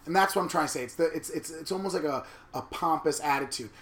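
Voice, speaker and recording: A man in his thirties co-hosting a candid casual chat, blunt and animated. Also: a little high, quick pace. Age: 30s. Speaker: podcast co-host. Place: New York.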